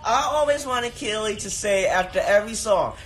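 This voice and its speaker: A woman's voice: medium pitch, animated, normal speed, very noisy. A woman in her sixties joining in playfully from off camera, laughing and chiming in. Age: 60s. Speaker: mother joining the livestream. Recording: roomy and distant from the phone.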